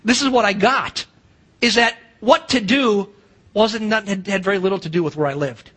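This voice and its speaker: A man's voice: sits high, normal speed, fairly bright tone, breathy edge. A middle-aged man with an American accent preaching a sermon as a pastor, emphatic, posing rhetorical questions and acting out dialogue. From United States.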